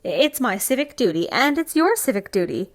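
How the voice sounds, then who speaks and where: A woman's voice: a little high, big pitch swings, moderate pace. A woman acting a frantic, demanding caller on the telephone in an old-style radio thriller, stammering and indignant. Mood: exasperated, demanding. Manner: breathless stammering, indignant, exasperated sighs.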